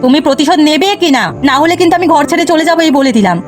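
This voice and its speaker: A woman's voice: sits high, animated, rapid-fire, music in the background. A woman voicing an insistent, easily offended village wife in a cartoon. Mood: indignant, nagging. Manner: insistent, dramatic. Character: scolding, demanding village wife, quick to take offence. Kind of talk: Bengali cartoon voice acting.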